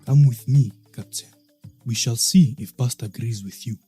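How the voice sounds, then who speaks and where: An actor playing a proud, guarded African warrior king in an audio drama, speaking formally and solemnly in measured phrases. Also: medium pitch, dark tone, slightly rough, faint music behind. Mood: grave, guarded.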